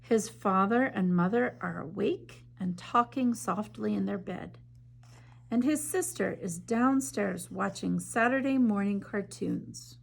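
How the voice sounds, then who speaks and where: A woman teacher reads a picture book aloud at an unhurried pace, softening her voice at quiet moments. Her mid-range voice carries a noticeable vocal fry. When she turns to the illustrations, she becomes chatty and eager. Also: medium pitch. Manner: gentle, measured reading with soft drops in volume; enthusiastic, chatty when discussing the art. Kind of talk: slow storybook read-aloud, then art discussion.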